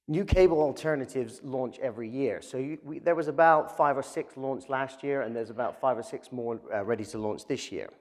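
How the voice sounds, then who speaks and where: A man's voice: mid-range voice, a little fast, few pauses, big-room sound. A man with an English accent presenting his startup at a live event, talking conversationally with many fillers. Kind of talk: business pitch presentation.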